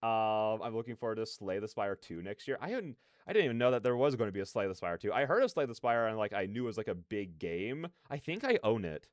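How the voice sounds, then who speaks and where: A man's voice: lowish voice, quick pace, hardly any pauses, dry recording, studio quality. Clean-voiced man chatting on a livestream. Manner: animated, slangy, rambling opinions. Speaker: livestreamer, reaction host. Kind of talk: casual livestream chat with viewers.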